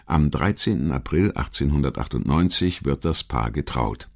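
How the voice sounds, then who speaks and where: A man reading scripted biographical narration as a documentary narrator, in a measured, articulate manner. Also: rumbling low, animated.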